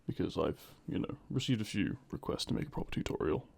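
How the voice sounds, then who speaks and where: A man's voice: lowish voice, expressive, fast, gravelly, a little mellow, no room sound. A man giving a casual outro as the host of an audio channel. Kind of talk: casual scripted-show outro.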